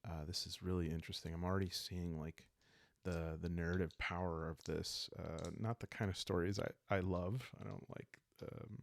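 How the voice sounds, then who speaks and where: A man calmly thinking aloud in an unhurried, casual way as he plays and narrates a solo roleplaying game. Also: sits low, gravelly, dry recording. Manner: calm, unhurried, musing, meant for relaxed listening. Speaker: host and solo player of a journaling roleplaying game.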